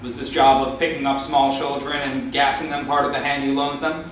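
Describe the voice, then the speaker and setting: A man's voice: mid-range voice, flat delivery, fairly quick, lightly acted. A young man playing a stern, accusatory war-crimes interrogator in a staged reading. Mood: probing. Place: meeting room, staged reading at a table. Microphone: far camera microphone. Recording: very echoey room, far microphone, hiss.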